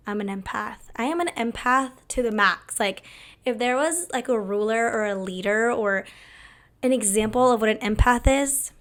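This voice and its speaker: A young woman hosting her own video talk show, chatty and candid, her voice hoarse as it comes back after losing it. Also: a little high. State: hoarse, recovering lost voice. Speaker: host. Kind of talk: casual talk to camera.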